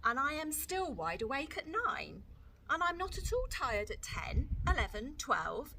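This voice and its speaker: Here is a woman playing a tiny, imaginative little sister in a storybook. The voice is high and very lively, insistent and chattering as it makes excuses. Some background noise sits behind it. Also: measured pace, exaggerated voice. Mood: stubborn, perky. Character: very small funny little sister, stubborn and imaginative.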